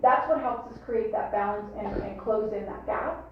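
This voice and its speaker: A heavily reverberant, far-off recording with faint noise carries a woman's voice. She reports to a board as a staff member, pausing and restarting in a hesitant way. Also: mid-range voice. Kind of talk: spoken report at a board meeting. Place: meeting room, distant microphone. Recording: dull echoey far-off room, faint noise.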